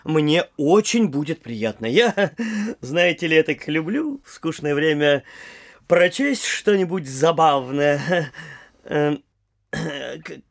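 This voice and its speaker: An actor playing a vain, scatterbrained young official in an audio comedy, rambling hesitantly with frequent interjections. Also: male voice, fairly high pitch, animated, takes it slowly, vocal fry, exaggerated voice. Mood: distracted, amiable. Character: scatterbrained young male visiting official, breezy, vain, cadging loans. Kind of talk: theatrical audio play comedy acting.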